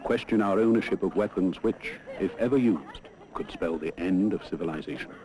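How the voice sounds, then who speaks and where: A man's voice: sits low, moderate pace, dark tone. A British man delivering scripted narration for a documentary film. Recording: noisy old film soundtrack.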